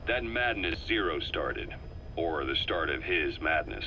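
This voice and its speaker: A shrewd, calculating male intelligence operative laying out a situation in a video game tape. He talks in a low, measured, earnest way with a sharp, forceful tone. A faint hiss runs underneath. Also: expressive, heavily acted.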